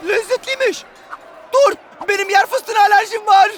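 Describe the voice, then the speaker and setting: Squealing, melodramatic cartoon footballer. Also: male voice, very high voice, fairly even intonation, normal speed, a crowd in the background, background noise. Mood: alarmed. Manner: exclaiming, melodramatic. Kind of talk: cartoon dub acting.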